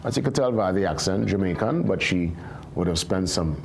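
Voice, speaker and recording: Gravelly low-voiced male TV host. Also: expressive, fairly quick, a little mellow. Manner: easygoing, filler-heavy wrap-ups. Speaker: morning show host. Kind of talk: live TV talk show hosting.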